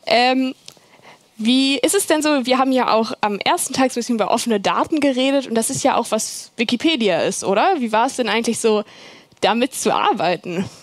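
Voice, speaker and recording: A woman's voice: fairly high pitch, lively intonation, brisk, dry recording. Chatty young woman moderating, fillers galore. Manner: chatty, rambling, many fillers. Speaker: event moderator. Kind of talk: casual stage chat. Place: event hall.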